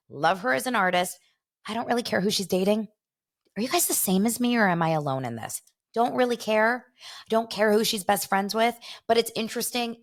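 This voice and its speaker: A woman in her forties with an American accent, hosting a casual solo gossip show in a chatty, jokey, informal way, talking straight to her listeners. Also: fairly low pitch. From Los Angeles, United States. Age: 40s. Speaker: podcast host.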